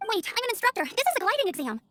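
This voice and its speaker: A woman's voice: very high-pitched, big pitch swings, rapid-fire, background noise. A woman voicing a cheerful, eager young female scout with a youthful, girlish voice in an anime-style video game. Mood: surprised. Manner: spirited, earnest. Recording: music behind the voice.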